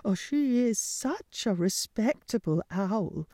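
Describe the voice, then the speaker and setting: A woman voicing a prim, excitable mother owl in a storybook tale, gasping out "oh" and "ooh" as she gossips. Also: medium pitch, slow pace, dry recording, studio quality, pitched above the performer's own voice. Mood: eager. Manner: fluttery breathy "oh" and "ooh" sighs.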